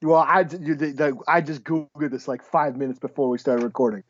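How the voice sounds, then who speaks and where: A man's voice: on the high side, steady tone, brisk, no echo. A man co-hosting a casual podcast, chatting in a laid-back, wry way. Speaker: podcast co-host. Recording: slightly dull, close dry recording.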